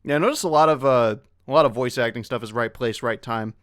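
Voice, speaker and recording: A man with a clear, smooth voice in a middle register chats casually on a panel. He is talkative and encouraging, slipping in joking asides, and the recording is dry and close. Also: medium pitch, fast talker, fairly bright tone, dry recording. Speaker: voice actor on an online convention panel.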